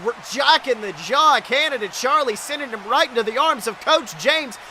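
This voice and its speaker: Man, wrestling play-by-play, very high forceful voice, breathless and dramatic, slight background noise. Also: expressive, moderate pace. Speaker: wrestling commentator, podcast host. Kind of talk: excited play-by-play wrestling commentary.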